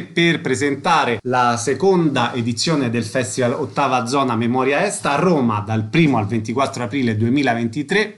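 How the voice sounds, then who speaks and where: A man's voice: mid-range voice, a bit bright. A man hosting a radio interview show, making concise, orderly announcements and putting short questions to guests.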